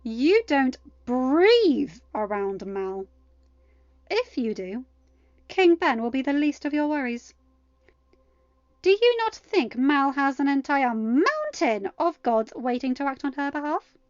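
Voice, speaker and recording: A woman's voice: sits high, sing-song, slow, dry recording, lo-fi sound, voice pushed up, full character voice. A woman voicing an imperious, protective goddess mother in a storybook, speaking with emphatic, threatening formality. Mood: menacing.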